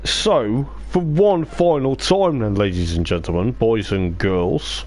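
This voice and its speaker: A man's voice: on the low side, slow, darkish tone. A man hosting a casual sim racing livestream as commentator, chatty and self-mocking, his strained voice starting to give out. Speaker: livestream host and race commentator.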